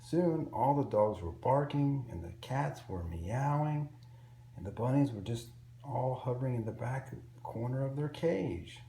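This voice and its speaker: A man reading a picture book aloud to young children in an expressive, simple storytelling way. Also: mid-range voice, measured pace, gravelly. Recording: roomy echo with faint background noise.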